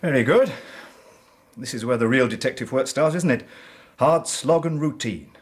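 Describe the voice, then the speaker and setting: An English actor playing a dogged, weary police inspector in a radio drama, blunt and matter-of-fact with a grumbling edge. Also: male voice, medium pitch, moderate pace, close to an everyday voice. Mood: dogged, smug. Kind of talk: British radio drama acting.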